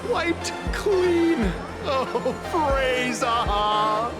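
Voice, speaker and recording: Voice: high voice, lively intonation, extremely slow, heavily acted. An actor playing a chatty townsperson in a video game who is blissfully, eerily content. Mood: gossipy, gleeful. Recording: loud music behind.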